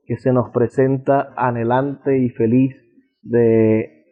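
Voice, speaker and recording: Venezuelan guy hosting a radio book show, measured rhetorical delivery, thin phone-like sound, faint music behind. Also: mid-range voice. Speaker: radio show host and interviewer. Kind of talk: radio interview with an eloquent introduction. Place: balcony of a house.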